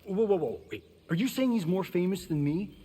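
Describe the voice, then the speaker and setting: A man playing a cocky, wisecracking film-star fighter in a video game, husky and full of slang. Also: sits high, expressive, brisk, long reverb tail, very noisy. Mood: cocky, teasing. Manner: slangy, joking. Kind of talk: video game character dialogue.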